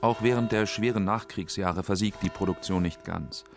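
A man's voice: lowish voice, normal speed, vocal fry, music in the background. A man narrating a documentary feature from a script.

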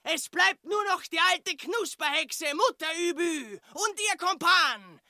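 Very high-pitched, proud young royal heir. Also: female voice, expressive, slow, no echo, studio quality, exaggerated voice. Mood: triumphant, defiant. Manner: declaiming, proclaiming loudly. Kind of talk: declamatory radio play acting.

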